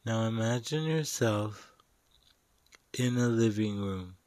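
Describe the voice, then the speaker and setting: A man with a lowish voice leads a guided meditation at a very slow pace. He gives soothing instructions in short phrases with pauses between them. The recording is dry and close, with little room sound. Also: a little mellow, no room sound.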